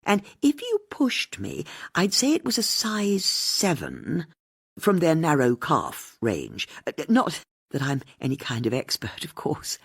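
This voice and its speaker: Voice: medium pitch, huge pitch swings, unhurried, a bit bright, husky, dry recording, clean studio sound, voice pushed up, heavily acted. An audiobook narrator voicing a timid, self-effacing woman with a hesitant, apologetic manner. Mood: modest, precise.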